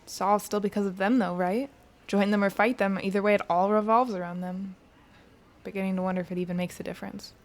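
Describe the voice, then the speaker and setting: A woman's voice: medium pitch, steady tone, fast talker, lightly acted. An actress playing a troubled, guilt-ridden young woman, speaking in a subdued, hesitant way in an audio drama. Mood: doubtful. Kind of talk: naturalistic dramatic dialogue.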